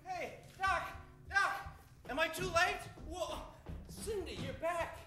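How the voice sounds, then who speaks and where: A performer playing an eager, excitable sidekick in an old-time radio adventure parody. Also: very high-pitched, slow, a crowd in the background. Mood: excited. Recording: roomy stage, audience behind.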